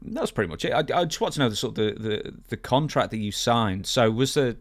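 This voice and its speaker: A man's voice: medium pitch, lively intonation, a little fast, no echo, studio quality. A man hosting a podcast, asking questions in a casual, roundabout and slightly hesitant way. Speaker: podcast host interviewing a guest. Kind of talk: casual interview chat.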